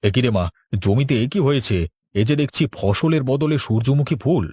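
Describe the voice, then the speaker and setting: A man's voice: medium pitch, animated, moderate pace, dark tone, husky, no echo, studio quality. An actor playing an earnest, talkative village man in a cartoon, exclaiming and explaining. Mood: flustered. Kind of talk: Bengali cartoon dialogue.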